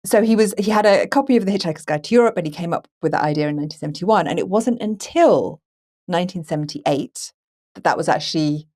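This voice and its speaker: A woman hosting a conversational film podcast, chatty and animated in the way she tells things. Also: lowish voice, fast talker. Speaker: podcast host and moderator.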